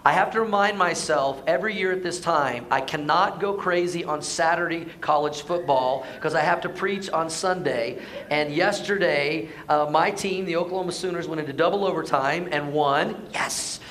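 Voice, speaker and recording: This is a man giving a Sunday sermon to his congregation. His voice is hoarse and sits high, and he keeps the tone chatty. He often asks his listeners to answer back. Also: normal speed, a bit bright. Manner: conversational, asks the congregation to respond. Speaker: pastor. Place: church sanctuary.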